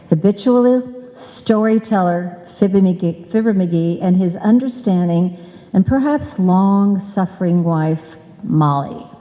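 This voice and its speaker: Slow, mellow woman host in hall. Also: mid-range voice. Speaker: host introducing the show. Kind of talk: scripted introduction to a live audience. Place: stage in a hall, live performance. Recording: echoey hall reverb.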